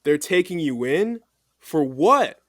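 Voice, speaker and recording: An actor playing an anxious, blunt business partner in an audio drama. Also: male voice, on the high side, expressive, slow pace, dry recording, clean studio sound. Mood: alarmed.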